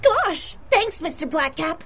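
A scatterbrained little tyke reports in an old-time radio play. The voice is tiny and very high, slow and swooping, whiny yet excitable. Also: female voice, heavily acted. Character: small, scatterbrained, good-natured kid recruit. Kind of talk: 1930s-style radio drama acting.

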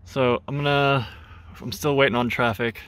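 A man in his thirties talking casually to camera as a vlogger, in a low-key, halting, matter-of-fact way. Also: fairly even intonation, a bit bright, clear, resonant tone, no echo. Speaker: vlogger, host. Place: inside a truck, parked or in traffic. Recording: vehicle noise behind phone-recorded speech.

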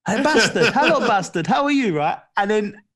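A man's voice: sits high, normal speed. A man in his thirties co-hosting a football show, telling stories over a video call from home with earphones. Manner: lively, laughing anecdotes. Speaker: co-host, former footballer. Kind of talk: casual chat. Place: home room, indoors.

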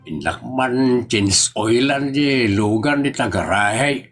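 A man's voice: mid-range voice, slow, a bit bright, soft background music. A man with an Ilocano accent playing a grumbling, easily flustered older husband in a comedy audio drama, with blustering exclamations.

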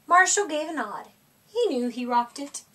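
A woman reads a children's story a little away from the microphone. Her voice is high and swings widely in pitch, with theatrical, deliberate phrasing. She sounds middle-aged. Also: very animated, bright, forceful tone, recorded from a distance. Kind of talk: expressive read-aloud of a children's picture book. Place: small indoor room with decorated wall.

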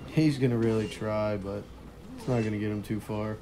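Hoarse low-voiced young man gaming. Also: moderate pace, slight background noise. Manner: relaxed, chuckles quietly while playing. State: hoarse, partly lost voice. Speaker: mobile game streamer. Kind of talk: casual gameplay commentary.

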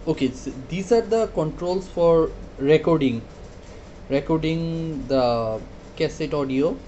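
A man demonstrating a product casually, hesitant and halting, often repeating his words. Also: on the high side, warm tone, lo-fi sound, a little hiss or hum. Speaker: product reviewer.

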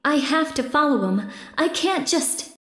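A woman's voice: sits high, expressive, measured pace. A woman voicing a sweet, devoted young heroine in a video game, speaking earnestly and tenderly. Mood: concerned, tender. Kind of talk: dramatic video game voice acting. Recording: echoey, reverberant game audio.

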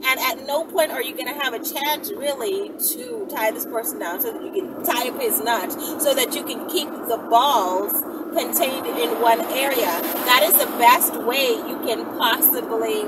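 A young adult woman giving casual, emphatic instructional chat to camera from inside a moving car, repeating phrases and checking in with the listener. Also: sits high. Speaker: vlog host answering viewer questions. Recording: distant mic, loud car road noise.

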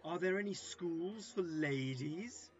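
A man playing a vain, gullible gentleman in an amateur audio-drama comedy, speaking in a theatrical, self-important way. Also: fairly high pitch, slow pace, dull audio. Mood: pompous, fretful.

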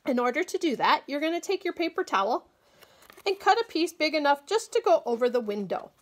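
A woman educator giving a clear, patient, step-by-step craft demonstration at a table indoors. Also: sits high, sing-song, brisk. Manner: clear, patient instructional delivery. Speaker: agriculture educator. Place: small indoor room, table-top demo.

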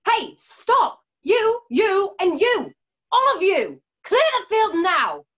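High-voiced, commanding woman instructor acted by a woman. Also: huge pitch swings, a bit bright, heavily acted. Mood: stern, warning. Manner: firm, measured, commanding. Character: commanding woman combat instructor, stern but caring. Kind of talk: radio drama acting over video call. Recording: dull, muffled video-call audio.

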